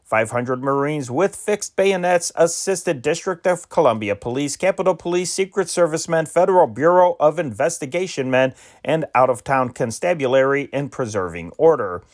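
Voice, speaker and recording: A man's voice: fairly high pitch, lively intonation, moderate pace, hardly any pauses. A man reading old newspaper news dispatches aloud in an amateur style, with occasional slips and restarts. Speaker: reader of historic news dispatches.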